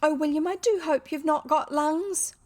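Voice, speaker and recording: Woman as a high-voiced, innocent little girl. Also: moderate pace, darkish tone, natural voice. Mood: anxious sympathy. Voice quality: high, girlish. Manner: earnest, tender, wide-eyed. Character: sweet, innocent, anxious little girl next door.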